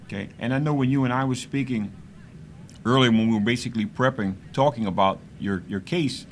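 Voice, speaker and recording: A man's voice: mid-range voice, brisk, slight background noise. A man hosting a call-in radio interview, with many stammering restarts and frequent affirmations. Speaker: radio host.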